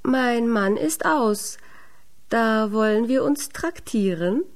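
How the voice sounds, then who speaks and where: A woman voicing a miller's scheming wife, falsely sweet and flustered, in an expressive storybook reading. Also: a little high, slow. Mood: inviting.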